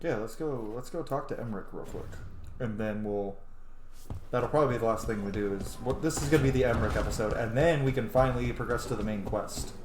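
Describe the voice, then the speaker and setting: A man in his thirties giving relaxed, casual commentary while playing a game at home. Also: medium pitch, fast talker, slight background noise. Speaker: game streamer. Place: small room at home, indoors. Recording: echoey room, game music behind.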